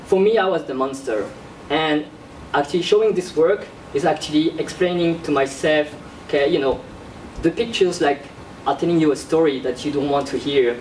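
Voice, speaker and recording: A young man, an artist born in Korea and raised in France, presenting his work in a classroom in a halting, casual way with many fillers. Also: fairly high pitch, a little mellow, far from the mic, a little hiss or hum. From born in South Korea, raised in France. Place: classroom with projector screen. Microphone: far camera at back of room. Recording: roomy echo from across the room.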